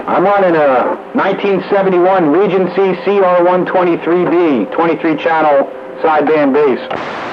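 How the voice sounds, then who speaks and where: A man's voice: on the high side, moderate pace. A man chatting casually over CB radio in folksy operator lingo, running a check-in net. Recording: muffled, noisy CB radio audio.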